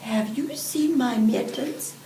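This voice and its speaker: A woman's voice: fairly high pitch, lively intonation, takes it slowly, vocal fry, dark tone, voice pushed up, full character voice. An elderly woman voicing an eager, earnest little boy in a storybook, pleading and fretting. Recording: roomy, distant microphone, background hiss.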